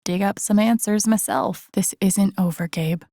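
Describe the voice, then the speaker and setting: A young video game heroine, earnest and self-doubting, musing to herself in an understated way. Her voice is breathy and husky with a gravelly fry, recorded very dry and close. The delivery is naturalistic, introspective and wry. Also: medium pitch, a little slow, mellow, no echo. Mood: weary, wry. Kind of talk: naturalistic video game voice acting, inner monologue.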